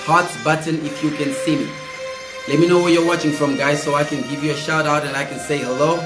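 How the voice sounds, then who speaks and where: A man in his thirties hosting a live political commentary stream, slightly hoarse, chatty and speaking straight to viewers. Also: on the high side, steady tone, a little fast, music in the background. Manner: chatty, addresses viewers directly, repeats calls. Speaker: host of an online political show. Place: indoor room, seated at a table. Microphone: fixed camera, mid-distance. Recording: roomy echo.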